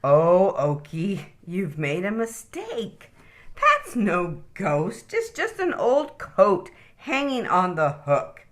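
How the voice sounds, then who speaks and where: A woman voicing a patient, reassuring mother rabbit in a bedtime storybook, gently chiding as she explains. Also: medium pitch, wildly swooping pitch, slow pace.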